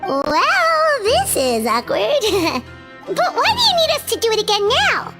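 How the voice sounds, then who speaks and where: A woman voicing a tiny floating companion who is excitable and chatty and talks about herself by name. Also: very high voice, measured pace, heavily acted. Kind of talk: game voice acting. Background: music.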